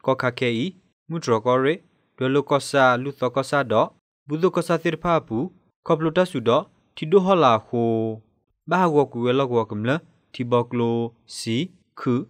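A man's voice: mid-range voice, unhurried, no echo, studio quality. A man reading scripted radio news. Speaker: news reader.

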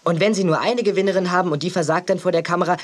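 An actor playing a supportive, easygoing young boyfriend in a children's audio drama. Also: male voice, high-pitched, steady tone, rapid-fire. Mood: reasoning. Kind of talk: 1980s German children's audio drama acting.

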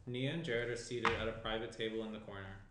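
A man's voice: on the low side, fairly even intonation, quick pace. A young man reading screenplay stage directions as scripted narration. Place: small indoor room around a table. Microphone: shared tabletop microphone, some distance away. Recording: roomy echo, faint room noise.

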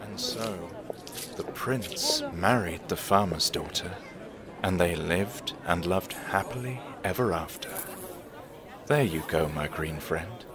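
Deep, unhurried mysterious vagrant storyteller. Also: male voice, a crowd in the background. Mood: gentle, whimsical. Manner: deliberate, theatrical, teasing. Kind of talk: audio drama acting.

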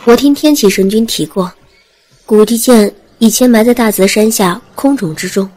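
A woman's voice: fairly high pitch, normal speed, mellow, natural voice, low-quality recording. A woman playing an earnest, respectful immortal attendant with formal speech in an audio drama.

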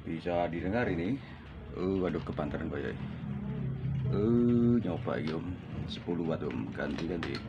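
A deep-voiced man with a Javanese accent chats unhurriedly into a desk condenser mic in a radio shack. The sound is dull and lo-fi, with a clear hiss of noise running underneath. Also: moderate pace. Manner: relaxed, chatty, signs off each turn. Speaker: radio amateur operator. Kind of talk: casual two-way radio chat. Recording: lo-fi room with loud hiss.

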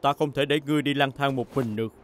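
A man playing a defiant young hero in an animated series dub. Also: mid-range voice, fast, slight background noise, close to an everyday voice. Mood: challenging.

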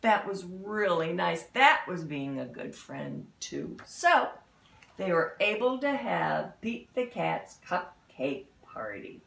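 Animated, slow-paced woman storyteller, creaky voice. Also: fairly low pitch. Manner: expressive, exclamatory, with dramatic pauses and asides. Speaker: children's picture-book reader. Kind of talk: animated read-aloud storytelling for young children. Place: small indoor room at home. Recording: roomy home recording, slightly distant mic.

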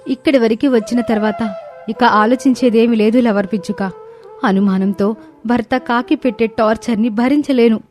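A woman's voice: on the high side, steady tone, normal speed, a little mellow, faint music behind, lightly acted. A woman playing an aggrieved, vengeful wife sparrow in a bird cartoon, melodramatic and pleading, over hissy noise. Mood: resolved.

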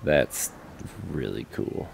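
A man's voice: rumbling low, lively intonation, slow pace, gravelly, background noise. A man giving casual, laid-back let's play commentary as a gaming host, thinking aloud. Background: faint game music.

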